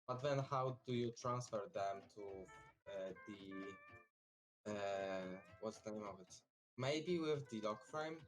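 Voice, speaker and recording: A man co-playing on a game stream, explaining building mechanics haltingly with many pauses. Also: on the low side, rough recording. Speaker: co-player guest. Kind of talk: casual gameplay chat.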